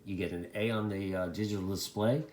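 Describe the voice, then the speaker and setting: A man with a fairly low voice talks through a product in a casual, hands-on review. He speaks haltingly, thinking aloud as he demonstrates, and the sound is clearly echoey and roomy. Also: steady tone, a little mellow.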